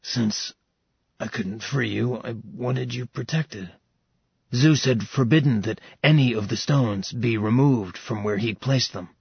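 A man voicing a brooding, cursed male dragon shifter who speaks tenderly and solemnly in a hushed tone. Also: unhurried, no echo, dull audio, full character voice. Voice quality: gravelly, husky low voice.

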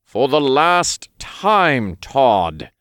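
A man's voice: lowish voice, expressive, no echo, clean studio sound. A man doing a weary, sighing archangel. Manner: sighing, tired, measured. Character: weary male archangel, patient and exasperated.

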